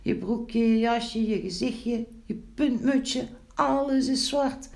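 A woman's voice: fairly high pitch, slow, vocal fry, a little mellow, voice pushed up. A woman voicing a playful, teasing animal with a bushy tail in a children's story, full of exclamations. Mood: gleeful laughing.

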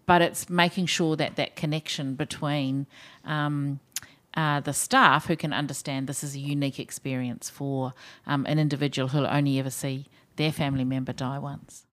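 Low-pitched New Zealand woman, podcast guest, measured and reflective. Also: flat delivery. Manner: thoughtful, measured, frequent ums. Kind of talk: podcast interview.

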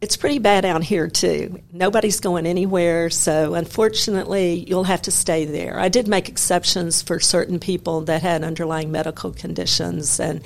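A woman in her sixties from Memphis, a retired judge telling stories from her career in a measured, wry way. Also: on the low side, fairly even intonation, vocal fry, slight background noise. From Memphis, Tennessee. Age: 60s. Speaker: guest, retired federal judge. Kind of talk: conversational interview.

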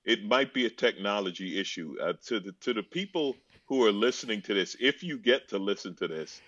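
A man with a deep voice, answering fan questions with wry, deadpan jokes and drawn-out punchlines during a video call. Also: slightly rough, no echo. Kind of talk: joking video-call chat. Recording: dull, lo-fi video-call audio.

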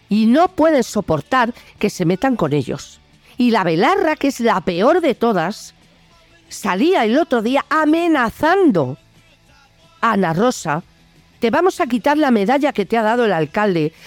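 A woman's voice: fairly high pitch, darkish tone, no room sound. A woman with a Spanish accent from Spain delivers an opinionated political monologue in a blunt, indignant, colloquial way. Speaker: host of a political opinion video show.

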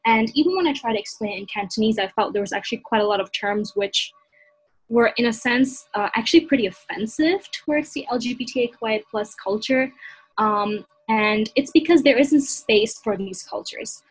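A woman's voice: on the high side, moderate pace, breathy edge, low-quality recording. A young woman from Hong Kong talking earnestly and reflectively in a casual discussion, with frequent fillers. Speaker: panel guest.

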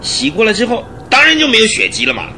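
An agitated, stubborn police detective from a radio drama. The voice is high and forceful, swinging widely as he argues with emphatic exclamations. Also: huge pitch swings, fast, soft background music, heavily acted. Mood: defensive. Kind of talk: Mandarin radio drama acting.

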